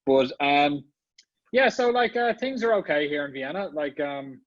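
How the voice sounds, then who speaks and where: A man's voice: fairly high pitch, animated, measured pace, no room sound. Chatty Irish male guest on a muffled, dry video-call line, full of fillers. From Ireland. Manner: chatty, many fillers and restarts. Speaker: guest speaker, marketing executive. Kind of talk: casual online summit talk.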